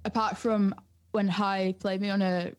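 A woman's voice: medium pitch, level tone, unhurried. A young woman, chatting casually and colloquially as an interview guest. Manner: informal, colloquial. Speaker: music producer and DJ guest. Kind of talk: casual chat.

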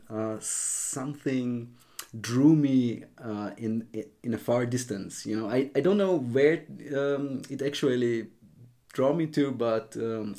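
A man's voice: medium pitch, mellow. A man from western Austria, a native German speaker, telling his story as an interview guest, hesitant and searching for words. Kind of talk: casual interview chat.

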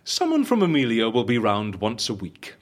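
Suave, husky, highly animated fixer. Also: male voice, moderate pace. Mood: genial persuasion. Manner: articulate, persuasive, theatrically expressive. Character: suave, businesslike agency fixer who stages fake deaths, wry and theatrical. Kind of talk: audio drama dialogue.

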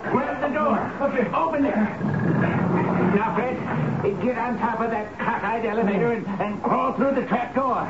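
A man's voice: very high voice, a little slow. An actor playing a fat, bullying male boss who is imperious and melodramatic in a radio drama. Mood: blustering, commanding. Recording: dull old radio broadcast, echoey, music behind.

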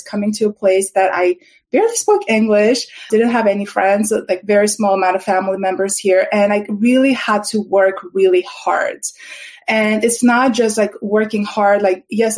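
A woman from Armenia, a podcast guest telling her life story in an earnest, animated way. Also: mid-range voice. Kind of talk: personal storytelling.

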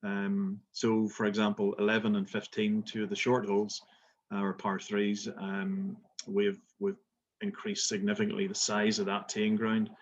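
A man's voice: fairly low pitch, monotone, normal speed, dull audio, a little hiss or hum. A middle-aged man from Belfast with a Belfast accent, a golf resort manager answering interview questions with many fillers and restarts. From Northern Ireland.

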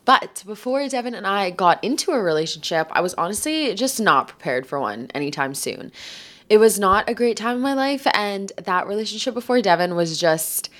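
A woman in her late twenties chats on her own as a podcast host, rambling easily from one thought to the next. She sounds a little stuffed up from a cold and keeps dropping "like" into her sentences. Her voice sits at an ordinary middle pitch. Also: animated, moderate pace, fairly bright tone. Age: 20s. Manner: chatty, rambling, says "like" a lot. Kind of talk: casual solo podcast chat.

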